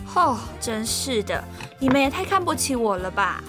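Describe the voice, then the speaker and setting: A woman's voice: on the high side, sing-song, moderate pace, over music. A young woman acting a cheerful, curious student in an audio drama, playful and eager with a whiny streak. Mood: playful, indignant. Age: young adult.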